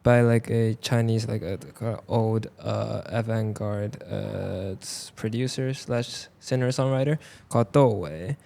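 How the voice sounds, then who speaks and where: A man with a Taiwanese Mandarin accent speaks in a gravelly voice with plenty of fry. He is a podcast guest whose first language is Mandarin, talking casually, halting and filling pauses, with the odd dry joke. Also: lowish voice, steady tone, dark tone. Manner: halting, many fillers, joking. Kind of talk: casual chat. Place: clothing store.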